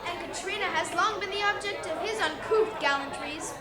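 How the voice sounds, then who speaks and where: Young girl as a very high-pitched gossiping wife. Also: moderate pace. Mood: rueful, gossiping. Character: rueful, world-weary gossiping village wife. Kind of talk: school radio play acting. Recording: loud crowd in public hall.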